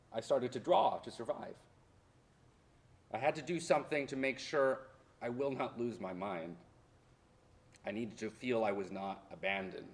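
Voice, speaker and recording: A man playing a haunted, defiant history professor in a theatrical monologue, speaking deliberately and with dramatic emphasis. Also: mid-range voice, close to an everyday voice. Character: haunted Mexican-born history professor orphaned by deportation, wounded, defiant. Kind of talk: theatrical monologue in a staged reading.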